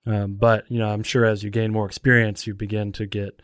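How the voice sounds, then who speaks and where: Man, low voice, podcast host, conversational, questioning, dry close mic. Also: level tone, a little fast, no room sound. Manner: conversational, questioning, with many "like" fillers.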